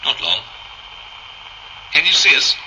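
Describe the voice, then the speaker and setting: A man's voice: fairly high pitch, big pitch swings, slow, pushed and bright, full character voice. An actor playing a world-weary, sardonic male machine being in a radio drama, with wry, clipped delivery. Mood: wry resignation. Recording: very noisy old radio drama recording.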